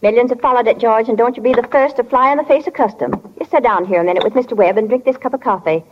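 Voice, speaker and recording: An actress playing a firm, fussy small-town mother in 1940s radio drama, with a brisk, motherly, folksy manner. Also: fairly high pitch, natural voice. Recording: dull, hissy, reverberant 1940 radio recording.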